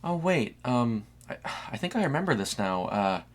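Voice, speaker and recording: A man voicing a grieving, wistful sister in an audio story, speaking in a hesitant, halting way. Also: medium pitch, very animated, voice pushed up, lightly acted. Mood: recalling, hesitant. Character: grieving young woman, wistful sister reminiscing.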